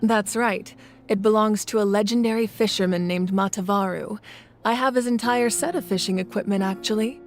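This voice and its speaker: A woman playing a calm, thoughtful collector. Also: mid-range voice, lightly acted. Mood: calm, reflective. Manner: measured, reflective storytelling with soft laughs. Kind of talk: video game cutscene dialogue. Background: game music.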